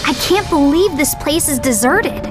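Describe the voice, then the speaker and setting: An actress playing a sassy, sensible young American girl in an animated game, sharp-tongued and sarcastic. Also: high-pitched, moderate pace. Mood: scolding. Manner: sarcastic, scolding. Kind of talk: animated video game voice acting. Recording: loud music under the voice.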